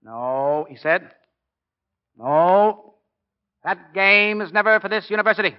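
A middle-aged American football coach in a 1940s radio drama. His voice is high for a man, and he speaks with earnest, plain-spoken oratorical force. The recording is muffled, as old broadcasts are. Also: animated, slow pace, smooth. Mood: wry, folksy. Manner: emphatic, oratorical.

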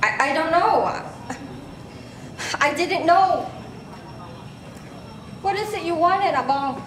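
A woman's voice: expressive, slow, a bit bright, heavily acted. A woman on stage playing a reproachful immigrant mother in a staged reading, with a scolding delivery. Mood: shaken, apologetic. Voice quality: high-pitched, scolding. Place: large echoey public hall with glass balcony. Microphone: handheld microphone, heard through room speakers. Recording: echoey hall, noisy room ambience.

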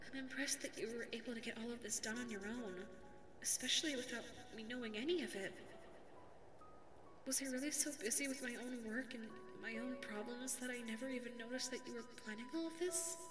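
A woman's voice: a little high, a little fast, over music, natural voice. A woman voicing a sweet, flustered, lovestruck young female dog secretary in an ASMR audio roleplay, stammering and self-effacing. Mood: quietly fond. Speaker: voice actor.

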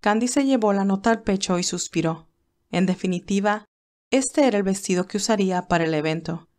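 A woman's voice: mid-range voice, a little fast, a little mellow. A woman reading scripted narration of a romantic story.

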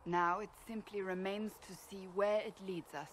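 A woman playing a stern, devout and blunt fantasy warrior in a video game, speaking gravely and deliberately. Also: medium pitch, steady tone, very noisy, close to an everyday voice. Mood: solemn. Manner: grave, forceful, deliberate phrasing. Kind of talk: video game cutscene acting.

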